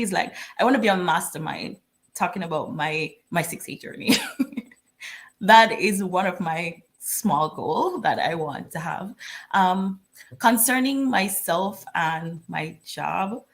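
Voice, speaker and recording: A woman's voice: mid-range voice, gravelly. A woman from Jamaica, a guest on a video call interview, chatty and laughing often.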